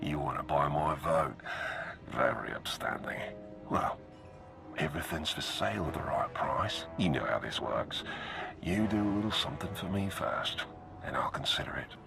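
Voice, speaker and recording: Very deep, gravelly shady boss. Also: male voice, animated, moderate pace, full character voice. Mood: wry. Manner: wry, dry-humoured, drawling menace. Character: sardonic, shady underworld boss, gruff and self-amused. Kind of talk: video game cutscene acting. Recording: music behind the voice.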